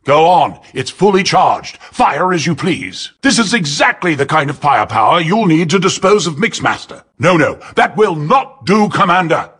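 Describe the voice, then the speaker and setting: An actor playing a fussy robot engineer with a husky voice and formal, precise phrasing, in video game dialogue. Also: male voice, fairly high pitch, big pitch swings, normal speed, few pauses, fairly bright tone, heavily acted. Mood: precise, commanding.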